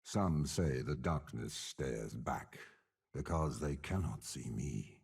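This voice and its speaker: A menacing old general from a game, super deep n gravelly, talks slow, sneering but swings around dramatically. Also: male voice, animated, a little mellow, full character voice. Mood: brooding, ominous. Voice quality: gravelly, husky. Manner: slow, deliberate, disdainful. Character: commanding, menacing, scheming old male warlord general. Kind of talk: dramatic game character voice lines.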